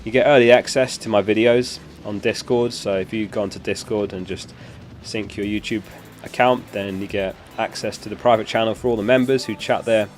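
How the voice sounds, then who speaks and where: Low-voiced man chatting on a stream. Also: brisk, hardly any pauses, faint music behind. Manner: relaxed, answers chat questions. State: recovering from a lost voice. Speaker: streamer host. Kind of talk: casual live stream chat.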